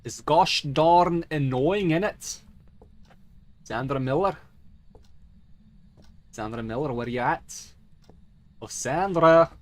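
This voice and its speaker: A man's voice: on the high side, slow pace, long pauses. A man giving rambling, sweary, theatrical video game commentary in a put-on mock dwarf accent. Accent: put-on mock accent he calls a dwarf accent. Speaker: game streamer.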